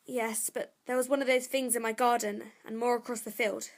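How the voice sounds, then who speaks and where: A woman's voice: a little high, level tone, fairly quick, natural voice. A female performer playing a frightened, wary young girl in an audio drama, speaking timidly and hesitantly. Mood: wary, anxious. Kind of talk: audio drama acting with first-person narration.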